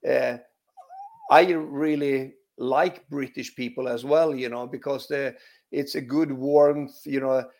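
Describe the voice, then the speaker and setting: A man with a Swedish accent, a former footballer, answering interview questions in a relaxed, halting way, full of restarts and "you know". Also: mid-range voice, creaky. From Sweden. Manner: hesitant, many restarts and "you know" fillers.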